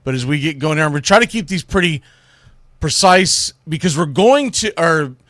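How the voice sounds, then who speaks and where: A man's voice: on the high side, animated, moderate pace, fairly bright tone, no echo, studio quality. A man hosting a casual solo sports livestream, rambling in an informal, folksy way. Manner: folksy, rambling, informal with Southern-style turns like y'all. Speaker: sports show host.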